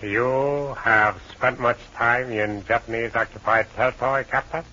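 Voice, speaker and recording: Polite Chinese colonel, husky yet crisp-edged and bright, careful formal phrasing, muffled vintage radio sound. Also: male voice, mid-range voice, steady tone, measured pace. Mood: measured. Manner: formal, hesitant, courteous phrasing with frequent uh. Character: polite, dignified, measured Chinese army colonel. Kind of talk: 1940s radio adventure acting. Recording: muffled old radio recording.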